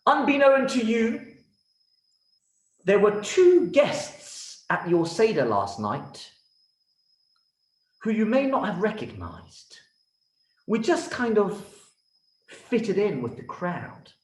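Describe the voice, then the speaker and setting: Storybook king played by a man: imperious, grandiose, high-pitched, stretching words, in an echoey room. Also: wildly swooping pitch, heavily acted. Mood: gracious, pompous. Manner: pompous, drawn-out, swooping delivery. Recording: roomy, echoing sound.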